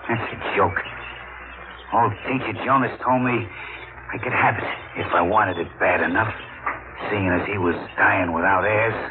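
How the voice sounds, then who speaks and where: An actor playing a laconic, bitterly amused armed outlaw in a 1950s radio thriller, speaking in slangy phrases. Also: male voice, on the low side, measured pace, long pauses, natural voice. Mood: wry. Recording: tinny muffled old radio broadcast.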